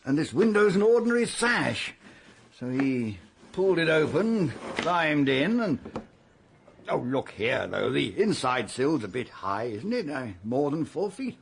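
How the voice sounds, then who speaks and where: A man's voice: fairly high pitch, big pitch swings, a little slow, slight background noise, exaggerated voice. An actor playing a middle-aged, blustering and sceptical British father, master of the house in a period radio drama. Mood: pondering. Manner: gruff, dismissive, blustery.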